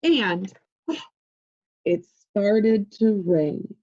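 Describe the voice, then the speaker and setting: A woman voicing a friendly frog who calmly and patiently tells a story of his childhood. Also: mid-range voice, extremely slow, lo-fi sound. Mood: reminiscing.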